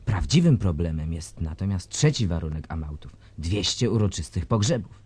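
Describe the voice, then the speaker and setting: A sly, sardonic male minister in a radio play, plotting his escape with dry amusement. The voice sits low and husky. It is recorded close and dry. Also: expressive, normal speed, a little mellow, no room sound. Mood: scheming. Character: scheming male government minister, sly and cynical. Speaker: government minister. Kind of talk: radio drama acting.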